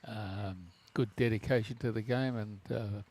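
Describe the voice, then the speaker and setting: A man co-commentating in a relaxed, halting way, restarting phrases often. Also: lowish voice. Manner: halting, with many restarts and uh fillers.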